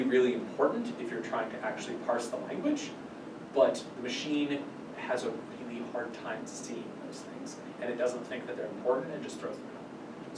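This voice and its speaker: Guy explaining tech stuff at a workshop, restarts sentences a bit, echoey room w/ rumbly noise. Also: distant mic. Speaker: workshop presenter. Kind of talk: informal technical explanation to a small group. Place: small indoor meeting room. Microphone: far camera microphone.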